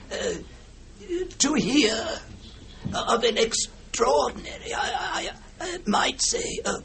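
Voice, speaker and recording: A man in an old-time radio drama playing an ailing, drunken retired major of about sixty, creaky and stammering with hesitation. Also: very high voice, noisy background, full character voice. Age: older. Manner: stammering, hesitant, faltering speech.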